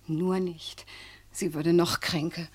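A woman's voice: lowish voice, level tone, slow pace, breathy edge. A woman acting in a German radio play as a doting, worried maidservant who soothes her mistress.

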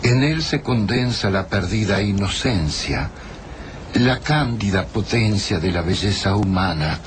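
A man's voice: fairly low pitch, expressive, a little slow, slight background noise. A man giving literary narration in a radio drama.

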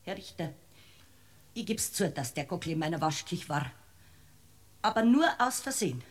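An actress playing a tearful, flustered Bavarian parsonage housekeeper on trial in a radio comedy, whimpering plaintively. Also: female voice, fairly low pitch, very animated, normal speed. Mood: pleading. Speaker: defendant in a courtroom drama.

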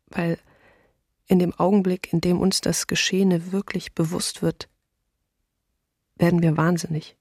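Husky, subdued young diarist. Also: female voice, lowish voice, dry recording, clean studio sound. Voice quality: husky, breathy. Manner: subdued, even. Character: despairing 28-year-old woman diarist in wartime. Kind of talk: restrained diary reading in documentary radio drama.

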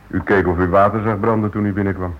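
An actor playing a cool, sardonic convict in a Dutch radio play, talking with wry, mocking politeness. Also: male voice, deep voice, steady tone, fast talker, lightly acted. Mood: wry, amused. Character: cool, sardonic male convict receiving a prison visitor. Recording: muffled old radio play recording.

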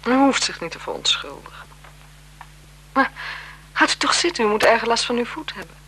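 An actress in a radio drama playing an elegant, flirtatious marquise, speaking in a coy, teasing, genteel manner with languid self-assurance. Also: female voice, a little high, sing-song, fairly quick, pushed and bright, slight background noise, rough recording. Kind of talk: 1970s Dutch radio drama acting.

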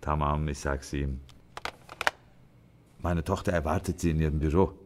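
Deep-voiced genial older receptionist. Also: male voice, fairly even intonation, normal speed. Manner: genial, formally polite. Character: courteous, chatty older Turkish father at reception desk. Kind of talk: radio play dialogue. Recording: clear background noise.

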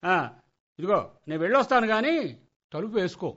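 Exasperated middle-aged husband, high voice, argumentative, nagging, radio comedy play, muffled lo-fi recording. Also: male voice, animated, brisk. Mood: sarcastic warning.

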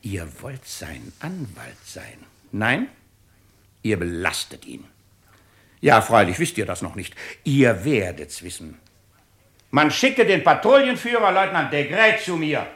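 An actor playing a shrewd, pompous, mocking presiding judge in a 1950s radio play. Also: male voice, mid-range voice, wildly swooping pitch, slow pace, fairly bright tone, husky, heavily acted. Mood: quiet, ominous. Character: shrewd, sardonic male presiding judge of a French tribunal.

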